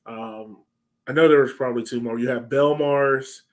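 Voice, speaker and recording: A man's voice: mid-range voice, fairly even intonation, normal speed. An American man in his thirties chatting casually about sports as a podcast host on a video call. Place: home room, indoors, on a video call.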